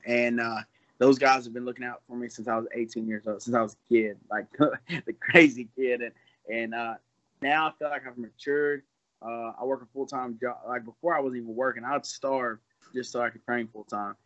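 A man's voice: medium pitch, flat delivery, moderate pace, fairly bright tone, muffled recording. A man in his twenties, a fighter, talking casually in an interview in a loose, rambling way with some laughs. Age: 20s.

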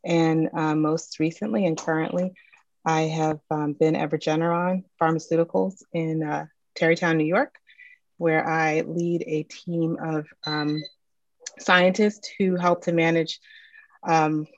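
A woman's voice: deep voice, flat delivery, measured pace, no echo. A woman scientist introducing herself carefully on a video call panel, correcting herself and using many fillers. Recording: dull, dry video-call audio.

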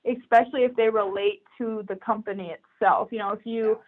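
A woman's voice: medium pitch, steady tone, brisk. A young woman, a recruiter, chatting casually and upbeat as a podcast guest, laughing often. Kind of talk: casual interview chat. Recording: tinny phone-like line.

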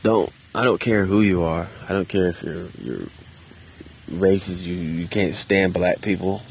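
A man with a very deep voice, speaking low and halting, as if worried someone might overhear. He rambles loosely and swears casually. He is recording outdoors, with faint traffic somewhere behind him. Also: steady tone. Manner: quiet, subdued, hesitant with many restarts. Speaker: vlogger. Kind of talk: casual solo monologue. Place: outdoors in a park.

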